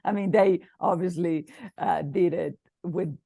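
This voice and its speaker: A woman with an Italian accent speaks in a fairly low voice, recorded close and dry. She talks conversationally as an interview guest, pausing and repeating phrases as she explains things. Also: vocal fry, warm tone, slightly rough, dry recording. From Italy. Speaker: interview guest, business mastermind coach.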